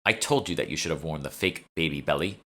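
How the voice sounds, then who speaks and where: An imperious British socialite woman, voiced by a male audiobook reader. She speaks with scornful condescension and a bright, forceful edge. She is haughty through and through. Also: very deep voice, fast talker.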